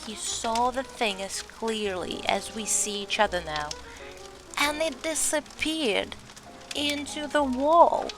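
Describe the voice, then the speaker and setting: A woman's voice: fairly high pitch, takes it slowly, over music. A woman acting in an audio drama as an earnest young believer in ghosts, telling her story with dramatic emphasis. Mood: defensive.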